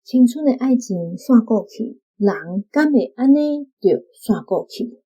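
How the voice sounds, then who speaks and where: A woman's voice: fairly high pitch, expressive, measured pace, warm tone, slightly rough, lo-fi sound. A woman with a Taiwanese accent, reading a scripted book reflection aloud as a guest on a programme. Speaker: guest reader from a book club.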